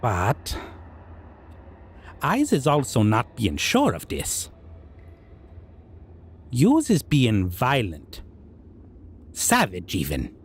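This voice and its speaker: Male actor as goblin king, fantasy audio roleplay, stern menacing judge, very slow deliberate delivery, broken quirky grammar, expressive swooping intonation. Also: medium pitch, breathy edge, full character voice. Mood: wary, deliberating. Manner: broken, unusual grammar with drawn-out questioning. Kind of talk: scripted roleplay audio drama monologue.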